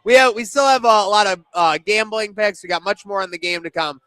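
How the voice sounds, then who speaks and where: A man's voice: high-pitched, a little fast, fairly bright tone, clear, resonant tone, dry recording. A young man co-hosting a sports pre-game show from a pizza pub, chatting and joking casually.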